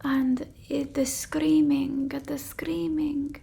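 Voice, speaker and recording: A woman playing a petulant female vampire in a whispered ASMR roleplay, with a theatrical, breathy delivery. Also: high-pitched, level tone, dark tone, heavily acted. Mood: upset, sulky. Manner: theatrical, slow, aggrieved. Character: petulant, melodramatic female vampire from an old village.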